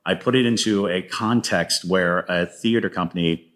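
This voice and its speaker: A man's voice: low voice, moderate pace, fairly bright tone. A man talking casually as a guest playwright in an interview, telling stories and slipping into quoted lines. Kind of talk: casual interview talk about own writing.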